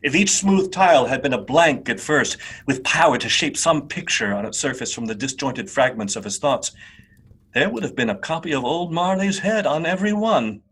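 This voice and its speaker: Husky male storyteller, theatrical. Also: mid-range voice. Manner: theatrical, expressive, deliberate phrasing. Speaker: narrator. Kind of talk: dramatic storytelling narration for a radio play.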